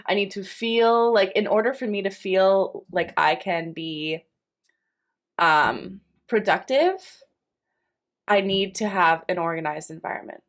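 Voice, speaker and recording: A woman's voice: mid-range voice, a little slow. A woman of thirty co-hosting a podcast, talking casually and frankly and swearing now and then. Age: 30s. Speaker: podcast co-host. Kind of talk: casual chat.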